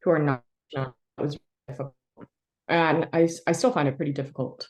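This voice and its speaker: Woman, low pitch, from the United States, historian, interview guest, reflective, halting, frequent fillers. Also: steady tone. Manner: thoughtful, halting, many fillers and soft laughs.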